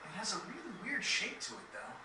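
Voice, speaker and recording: A woman voicing a brisk, no-nonsense youngster in a video game cutscene. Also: on the high side, sing-song. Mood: curious, musing. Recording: very echoey, distant, noisy game capture.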